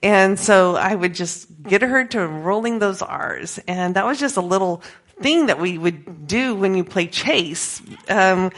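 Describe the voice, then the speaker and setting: A middle-aged woman with an American accent gives an informal talk to a group as a missionary, conversational and halting, often correcting herself. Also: medium pitch, expressive. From grew up in Peru as an American missionary kid. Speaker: missionary speaker giving a presentation.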